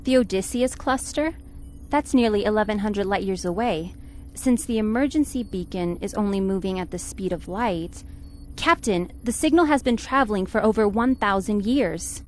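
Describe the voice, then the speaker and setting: A woman playing a quick-witted, sensible and exasperated spaceship flight attendant in a 1950s-style radio serial. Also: medium pitch, lively intonation, soft background music, natural voice.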